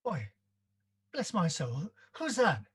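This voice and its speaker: Woman playing hearty, slow-spoken young nephew Fred. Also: lowish voice, wildly swooping pitch, slightly rough, exaggerated voice. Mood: surprised, joyful. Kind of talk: radio play reading of Victorian story.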